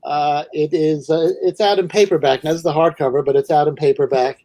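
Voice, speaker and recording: A man from Manhattan with a New York accent, a guest author talking over a video call in a thoughtful, halting way. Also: fairly high pitch, steady tone, warm tone, no room sound. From New York City. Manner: thoughtful, hesitant, many restarts. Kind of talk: casual video call interview.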